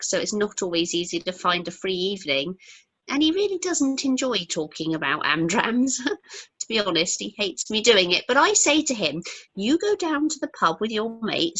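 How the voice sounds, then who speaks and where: A woman's voice: medium pitch, big pitch swings, normal speed, sharp tone, breathy edge, exaggerated voice, poor audio. A woman playing a young British wife, breezy but nervously defensive, in an amateur radio play. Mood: bright, friendly;.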